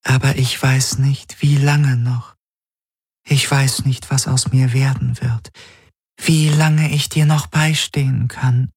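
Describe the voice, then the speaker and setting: A man voicing a sorrowful, devoted female apparition with a breathy, hushed, halting delivery, in an audiobook story. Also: mid-range voice, little pitch movement, no echo, studio quality, voice pushed up, full character voice. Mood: sad, devoted. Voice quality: breathy, whispery. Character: fading glassy dream apparition, female, sorrowful devoted servant.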